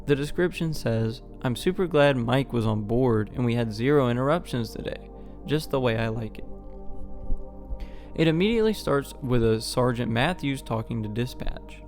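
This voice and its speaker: A man's voice: mid-range voice, expressive, moderate pace, darkish tone, faint music behind. A man hosting a video essay, giving a scripted explainer narration.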